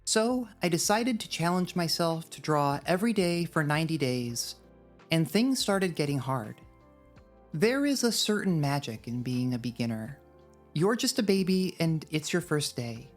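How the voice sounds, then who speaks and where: A woman's voice: deep voice, lively intonation, normal speed, faint music behind. A woman narrating a scripted video essay in a wry, drily humorous manner. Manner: wry, dry humour.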